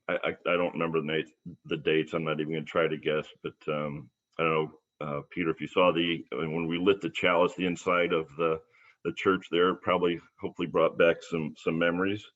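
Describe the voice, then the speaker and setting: An American man giving an informal introduction as a church host, rambling and reminiscing with halting, repeated words. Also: sits low. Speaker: church service host introducing a guest musician. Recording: dull, lo-fi livestream audio.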